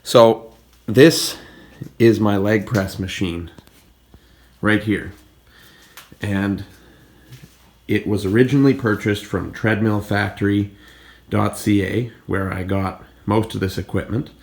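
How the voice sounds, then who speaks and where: A man's voice: lowish voice, fairly even intonation, takes it slowly, vocal fry, long pauses. A man with a cold and a congested voice, hosting a casual walkthrough of his home gym equipment and explaining it plainly. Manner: plain, explanatory, pointing things out. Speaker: fitness channel host.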